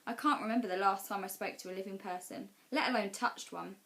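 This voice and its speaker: Female storybook narrator, mid-range pitch, expressive classroom read-aloud style. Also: quick pace. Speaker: teacher reading class storytime.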